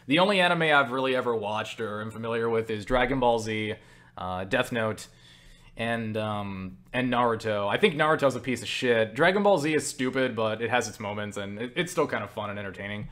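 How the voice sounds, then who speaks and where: A young man talks off the cuff in a casual question-and-answer style, sounding tired. He rambles and jokes at his own expense, with casual swearing. His voice sits at an ordinary middle pitch. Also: fairly bright tone. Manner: self-deprecating, joking, swears casually. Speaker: video creator answering viewer questions. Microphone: webcam setup.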